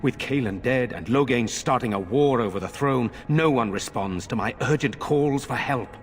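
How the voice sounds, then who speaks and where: An actor playing a middle-aged noble lord in a game, speaking in a measured, formal and earnest way. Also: male voice, medium pitch, a little hiss or hum, lightly acted. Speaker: village lord. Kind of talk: game voice acting.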